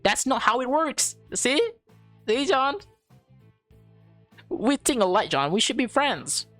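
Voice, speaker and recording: Woman acting teenage prankster, visual novel, cheeky, teasing, exclamatory, pitched up, faint music behind. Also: big pitch swings, slow pace, fairly bright tone, dry recording, heavily acted.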